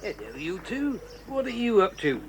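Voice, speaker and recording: A man's voice: high-pitched, huge pitch swings, normal speed, a little hiss or hum. An actor playing a friendly, plain-spoken circus man with an easy-going, folksy manner in a children's drama. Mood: genial. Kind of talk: 1980s children's audio drama acting.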